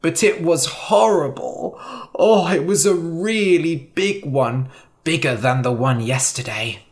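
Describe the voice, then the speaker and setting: A storyteller voicing a young shepherd boy who lies, shouting alarms high and loud, then making up excuses. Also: measured pace, close to an everyday voice. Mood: fibbing. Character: boastful lying shepherd boy.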